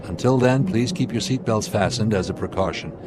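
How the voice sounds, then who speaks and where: Actor as low-voiced, commanding airline captain. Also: male voice, over music, natural voice. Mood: grave, decisive. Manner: authoritative, measured, wry. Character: steady, commanding male airline captain under pressure. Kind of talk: radio drama acting.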